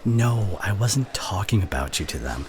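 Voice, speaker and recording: A man playing an exasperated, teasing college student in an ASMR roleplay, with a halting, sighing, sarcastic delivery. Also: low voice, sing-song. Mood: exasperated, defensive. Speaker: audio roleplay voice actor. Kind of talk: ASMR roleplay acting, one side of a conversation. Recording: clear background ambience noise.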